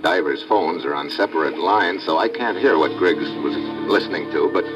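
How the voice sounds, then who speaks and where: An actor playing a tough, wry undercover diver, narrating tersely in an old-time radio adventure. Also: male voice, low-pitched, moderate pace, natural voice, rough recording. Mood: tense narration. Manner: terse, hard-boiled. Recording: vintage radio recording with music.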